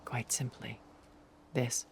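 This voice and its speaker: An actress playing a poised, persuasive immortal older woman with measured, elegant phrasing in a cinematic video game. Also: a little hiss or hum, close to an everyday voice. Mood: earnest, admiring.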